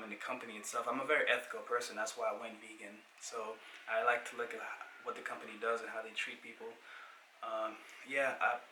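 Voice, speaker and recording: A man's voice: on the low side, pushed and bright, echoey room, recorded from a distance, a little hiss or hum, poor audio. A man with a Haitian accent, rambling with enthusiasm through a casual one-take tech review, full of fillers and restarts. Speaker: tech YouTuber.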